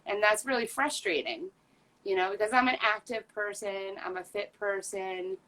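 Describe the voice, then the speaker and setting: A woman's voice: medium pitch, fairly even intonation, normal speed, sharp tone, rough recording. A woman in her fifties talking candidly as an interview guest, earnest and chatty, with frequent fillers and restarts. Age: 50s. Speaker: patient advocate guest.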